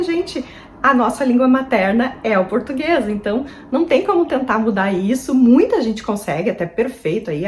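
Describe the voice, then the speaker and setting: A woman's voice: medium pitch, a little mellow, a little hiss or hum. Chatty Brazilian woman vlogger. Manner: chatty, earnest, addresses viewers as "gente". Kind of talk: casual advice vlog.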